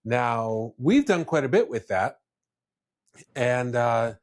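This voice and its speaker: A man's voice: mid-range voice, animated, slow. A man hosting a casual business discussion, speaking in a blunt, opinionated way. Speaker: podcast host.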